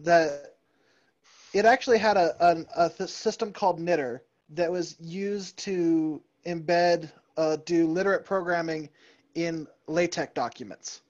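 Slow-talking man on a muffled call. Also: a little high. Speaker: meetup participant. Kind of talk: casual video call discussion. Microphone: video call. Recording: dull video call audio.

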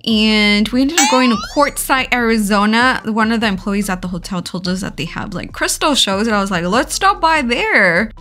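Woman, vlog voice-over, chatty and giggly, lots of fillers, fairly high voice, soft music underneath. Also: animated, moderate pace, hardly any pauses. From California. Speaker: vlogger. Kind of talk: casual voice-over vlog narration.

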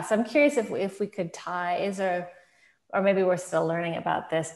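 Moderator: woman, deep and gravelly voice, hesitant and searching, echoing room. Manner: hesitant, searching, many fillers and restarts. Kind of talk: thoughtful questioning on a video call. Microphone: video call. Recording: roomy video-call audio.

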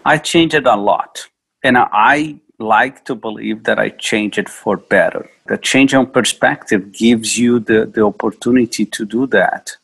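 A man from Brazil speaks hesitantly, often repeating himself. His low voice is husky and full of gravel. Faint music plays behind. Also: unhurried, a bit bright. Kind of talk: documentary interview.

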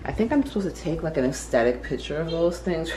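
A woman's voice: low-pitched, animated, fast talker. Chatty young woman vlogging at home. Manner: rambling, self-correcting, laughs at herself. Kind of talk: casual chatty vlog. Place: apartment kitchen and living room. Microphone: camera mic from a few feet away. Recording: echoey room, faint room noise.

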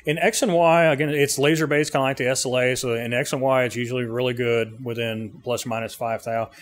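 A middle-aged man giving an informal technical explanation in interview answers, plain-spoken and pausing as he goes. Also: mid-range voice, steady tone. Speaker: service bureau co-owner explaining his machine. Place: indoor 3D printing workshop. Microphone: handheld interview mic held by interviewer. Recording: clearly noisy machine-room ambience.

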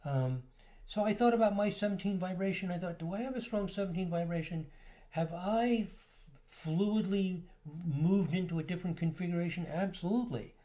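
A man giving a relaxed, explanatory lecture over slides, now and then pausing on filler words. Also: sits high. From United States. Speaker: astrology lecturer.

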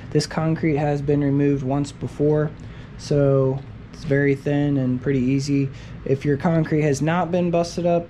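A young man casually walking through a basement repair step by step as he works, filmed on a head-mounted camera in an unfinished basement. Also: fairly high pitch. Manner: plain-spoken, explains steps as he works. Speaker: DIY home repair host. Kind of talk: casual how-to narration while working. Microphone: head-mounted action camera. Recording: noisy basement work site.

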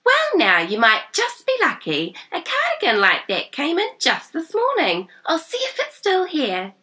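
Woman doing a high, bubbly young shop assistant. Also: huge pitch swings, a little slow, sharp tone, exaggerated voice. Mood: cheerful. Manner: cheery, singsong.

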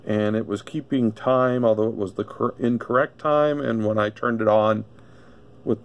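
A man casually demonstrating a product unscripted, thinking aloud in a halting way. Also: on the low side, steady tone, highs cut off. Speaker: gadget reviewer. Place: indoor electronics workbench.

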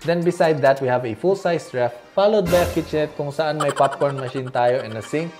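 A man's voice: a little high, fairly quick, darkish tone. A young man with a Filipino accent giving a casual, playful scripted house tour as a presenter. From Philippines. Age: young adult. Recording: music bed behind the voice. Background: music.

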